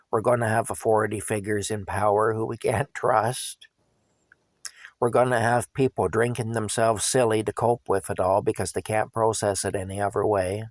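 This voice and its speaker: A man, likely older, talking earnestly and plainly to his viewers in a candid monologue, his throat going hoarse. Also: lowish voice, no echo. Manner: earnest, plain-spoken, repeats himself for emphasis. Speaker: vlogger.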